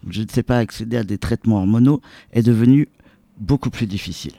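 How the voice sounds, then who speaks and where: Very mellow, dry-sounding male interpreter. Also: lowish voice, fast talker, creaky, no echo. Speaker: interpreter voicing a translation. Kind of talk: read translation voice-over.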